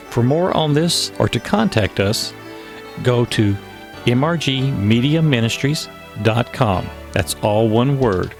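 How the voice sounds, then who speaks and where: A man reading a scripted show outro as announcer, with a brisk delivery. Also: mid-range voice, gravelly.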